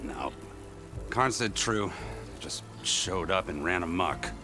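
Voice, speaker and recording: Folksy video game tinkerer, middle-aged, deep and animated, rambling and wry. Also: male voice, slow pace, music in the background. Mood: nostalgic, chatty. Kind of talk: video game character dialogue.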